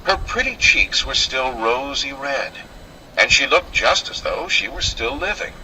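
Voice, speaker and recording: Male storybook narrator, theatrical and old-fashioned, piercingly bright forceful tone, unhurried pace. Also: medium pitch, very animated, a little hiss or hum, poor audio. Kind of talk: scripted fairy tale narration.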